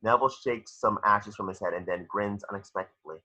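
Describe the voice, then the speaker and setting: A man's voice: fairly low pitch, fairly quick, sharp tone, muffled recording. A man reading stage directions aloud as narrator in a dramatic screenplay read-through.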